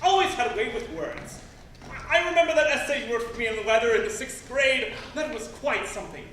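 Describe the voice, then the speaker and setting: An actor playing a proud, fussy schoolteacher in a stage play, wordy and rambling. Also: male voice, very high-pitched, animated, fairly quick, a bit bright. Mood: curious, encouraging. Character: proud, fussy former schoolteacher, wistful would-be writer. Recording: echoey, noisy stage recording from far off.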